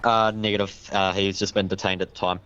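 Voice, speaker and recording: Low, very clear flat-toned man. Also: a little fast. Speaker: player roleplaying a police officer. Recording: dull lo-fi mic.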